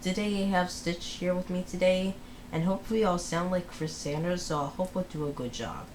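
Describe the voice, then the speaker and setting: A person reading a story aloud for children as host, with a deliberate, halting delivery full of pauses. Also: medium pitch, background noise.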